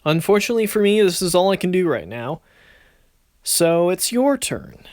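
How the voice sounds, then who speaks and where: A man's voice: sits high, big pitch swings, moderate pace, a little mellow, dry recording, studio quality. A man playing a cocky card duelist in an anime-style series, speaking in a theatrical, smug, declamatory way. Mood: explanatory. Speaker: voice actor in a fan dueling series. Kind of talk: anime-style dramatic battle dialogue.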